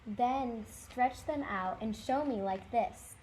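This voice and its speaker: A haughty storybook wild rabbit, played by a woman. The tone is soft-edged and fairly high, yet blunt and accusing, over clear background noise. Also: takes it slowly, rough recording. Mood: challenging.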